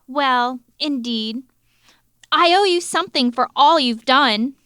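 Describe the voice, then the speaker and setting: Woman playing a high-voiced, slow, wounded shopkeeper. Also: pushed and bright, smooth, dry recording, studio quality, exaggerated voice. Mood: grateful. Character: scheming, wounded woman health-food shopkeeper. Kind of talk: melodramatic comedic drama dialogue.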